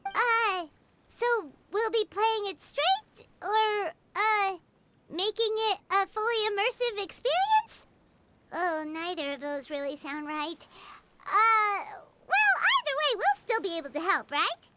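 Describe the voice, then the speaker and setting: A small, childlike fairy sidekick from a video game, squeaky and piercingly bright. The voice swoops around excitably and is full of curiosity, over clear background noise. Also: female voice, slow pace, exaggerated voice. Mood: hesitant. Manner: expressive, speaks of self in third person.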